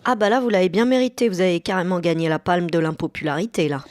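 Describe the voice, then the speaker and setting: A performer in an audio satire playing a sardonic, mock-fawning radio interviewer who needles with deadpan irony. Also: medium pitch, fast talker, dry recording, close to an everyday voice. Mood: sarcastic. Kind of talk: scripted satirical audio fiction.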